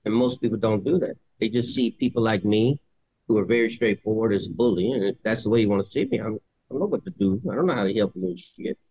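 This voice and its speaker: A man's voice: fairly low pitch, quick pace, dark tone, slightly rough, dry recording, poor audio. A middle-aged man co-hosting a nursing podcast, talking bluntly with lots of swearing in a casual debate. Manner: blunt, profane, straightforward. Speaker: podcast co-host, nurse.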